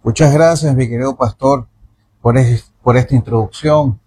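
Effusive radio host. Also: male voice, medium pitch, steady tone, moderate pace, a little hiss or hum. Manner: warm, effusive, formal address to the guest. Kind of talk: radio interview.

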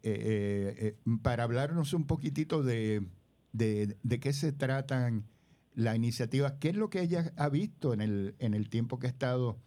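A man's voice: mid-range voice, normal speed, warm tone. An older man, a community leader speaking as a radio guest in a rambling way, full of hesitations.

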